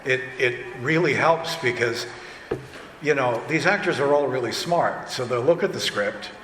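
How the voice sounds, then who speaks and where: A man's voice: mid-range voice, normal speed. A man speaking as a director on a panel, telling anecdotes in a chatty, informal way. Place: indoor convention panel room. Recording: echoey hall, faint noise.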